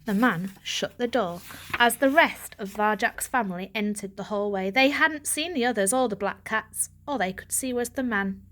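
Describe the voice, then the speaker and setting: A woman narrating a children's story in an expressive, dramatic reading. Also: medium pitch, normal speed. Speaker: audiobook reader.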